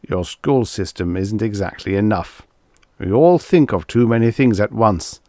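A man reading an audiobook, voicing an evasive alien telepath in a measured, placating way. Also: on the low side, expressive, darkish tone, no room sound, clean studio sound. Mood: temporizing. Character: evasive male alien telepath, hesitant and temporizing. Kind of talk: audiobook character dialogue.

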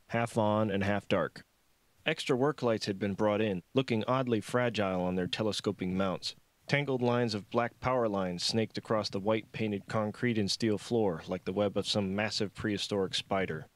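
A man's voice: lowish voice, dry recording. A man reading his own novel aloud as scripted audiobook narration.

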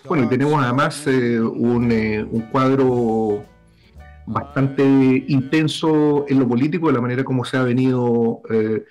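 A man's voice: mid-range voice, measured pace, a little mellow, soft background music. A middle-aged man hosts a political talk show over a video call from a home room lined with books. He speaks through a headset, in a formal, roundabout way, with plenty of "eh" fillers as he lines up guests. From Chile. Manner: formal, wordy introductions with many fillers. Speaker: host.

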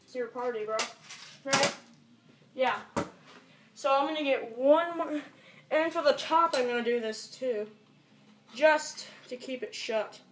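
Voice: high-pitched, unhurried, long pauses. A young teenager rambling casually through an improvised tutorial vlog, muttering and swearing, recorded in a small bedroom on a camera mic. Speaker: amateur video maker. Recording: echoey, noisy amateur home recording.

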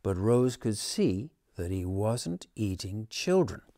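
A man in his sixties reading a children's story aloud expressively, in a measured, dramatic manner. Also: fairly low pitch, sing-song, mellow, dry recording, clean studio sound. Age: 60s. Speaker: storybook reader. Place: living room at home, on a sofa.

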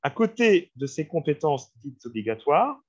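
A man's voice: on the high side, sing-song, normal speed. A man giving a formal, didactic training presentation on a video call, explaining slides and addressing his listeners directly. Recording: dull, lo-fi video-call audio.

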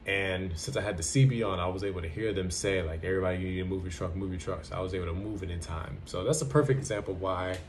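A man's voice: deep voice, quick pace, few pauses, a little hiss or hum. A young man talking casually and bluntly to camera, giving advice in a repetitive, conversational way. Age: young adult. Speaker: trucker giving advice in a vlog. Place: small plain indoor room.

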